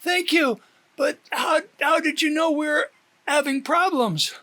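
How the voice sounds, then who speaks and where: Man acting a worried male friend, high-pitched, emotional, anxious, audiobook dialogue. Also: takes it slowly, sharp tone, full character voice. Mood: emotional, surprised. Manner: exclaiming, anxious.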